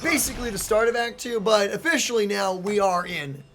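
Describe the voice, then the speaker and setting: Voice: mid-range voice, brisk, a bit bright. A gaming channel host giving casual, easygoing let's play commentary, often repeating phrases and drawing them out.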